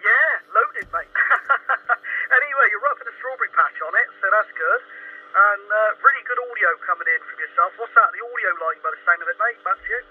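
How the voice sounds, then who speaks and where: A man's voice: high voice, animated, fairly quick. Male CB operator, casual radio patter, narrow phone-like transmission with faint noise. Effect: two-way radio transmission. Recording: tinny, distant CB radio link with faint static.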